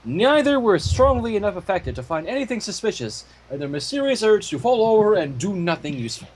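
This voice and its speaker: A man narrating fan fiction as an expressive storyteller. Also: high-pitched, fairly quick, slightly rough, low-quality recording.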